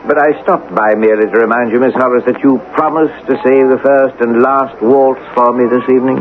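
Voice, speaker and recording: An actor playing a suave, flattering British army captain with courtly, ingratiating manners in a radio drama. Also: male voice, medium pitch, a little fast, narrow, tinny audio, natural voice. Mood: smooth, courtly. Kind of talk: 1980 American radio drama acting. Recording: thin, noisy old radio broadcast.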